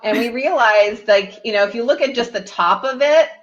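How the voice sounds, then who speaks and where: A woman's voice: fairly high pitch, normal speed. A woman doing a casual show-and-tell as a participant on a video call, speaking into a computer microphone. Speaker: parent showing shadow experiments with a child. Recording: dull, lo-fi video call audio.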